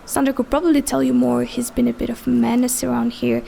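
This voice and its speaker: A woman's voice: a little high, flat delivery, quick pace, vocal fry, dark tone, slight background noise. A woman playing a polite, chatty small-town diner waitress in an audio drama. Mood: helpful, gossipy. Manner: polite, helpful, gossipy.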